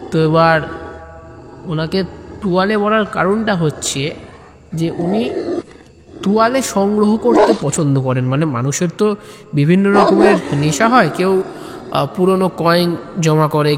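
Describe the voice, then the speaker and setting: A man tells a comic story as if chatting with friends. He wanders into asides and speaks directly to listeners. The sound carries long reverb over a faint bed of noise. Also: a little high. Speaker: storyteller and narrator. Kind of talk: informal first-person comic storytelling, audio story.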